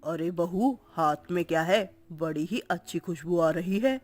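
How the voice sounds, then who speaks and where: A kindly, easygoing father-in-law with a lowered voice, gentle and coaxing, as a woman puts him on. His slow, unhurried lines sit over soft background music. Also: lightly acted. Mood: genial.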